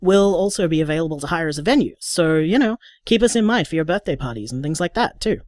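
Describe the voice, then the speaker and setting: An actor playing a sociable, flashy bar co-owner in a radio drama interview, chatty and upbeat with an Australian accent. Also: male voice, a little high, animated, fast, a little mellow, no room sound, studio quality, close to an everyday voice.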